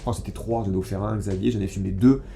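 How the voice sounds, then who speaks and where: A man's voice: lowish voice, racing, vocal fry. A French man co-hosting a casual podcast chat, talking with enthusiasm and frequent restarts. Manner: enthusiastic, animated, many restarts. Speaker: podcast co-host. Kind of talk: casual chat about cigars.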